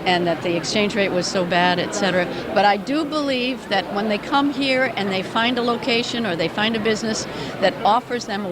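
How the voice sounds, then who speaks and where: An older woman promoting regional tourism, speaking with upbeat enthusiasm in a loud voice during an interview at a business expo. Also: medium pitch. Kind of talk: casual interview. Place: busy indoor business expo.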